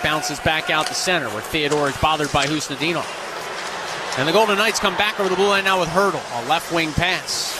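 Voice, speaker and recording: A man's voice: on the high side, noisy background. A man calling live ice hockey on the radio in clipped, vivid running commentary. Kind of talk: live sports play-by-play commentary.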